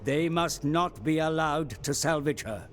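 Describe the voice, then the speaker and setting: An actor playing a grave pirate officer in a video game, reporting in a formal, portentous, theatrical way. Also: male voice, a little high, a little slow, natural voice. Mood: grave, urgent. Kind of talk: dramatic video game narration. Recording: game music over voice.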